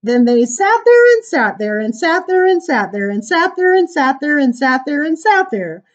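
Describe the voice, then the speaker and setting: High-voiced, animated woman reading to children. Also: normal speed. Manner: animated, sing-song, emphatic reading. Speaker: children's storytime librarian reading poems aloud. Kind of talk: expressive poetry read-aloud for children with casual asides.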